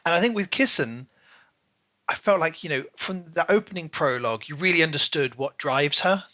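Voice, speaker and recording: A man's voice: on the high side, lively intonation, moderate pace, sharp tone, no echo. A man co-hosting a casual book discussion podcast, talking in a rambling way and often rephrasing himself. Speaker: podcast co-host.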